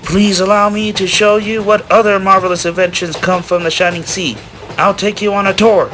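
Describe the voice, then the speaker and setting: A man's voice: high-pitched, steady tone, moderate pace, fairly bright tone, slight background noise. A man voicing an eager, over-polite cartoon sea pony porter with a formal, stilted sales delivery. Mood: eager, promotional. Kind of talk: animated cartoon voice acting.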